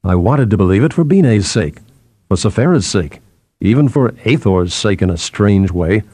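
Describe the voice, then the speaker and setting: A man's voice: fairly low pitch, expressive, normal speed, mellow, breathy edge, no room sound, pitched below the performer's own voice. A man reading the part of a weary, stubborn newspaperman, defensive and wry, in an audiobook story. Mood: indignant, shaken. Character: proud, weary male newspaperman, uneasy yet stubborn.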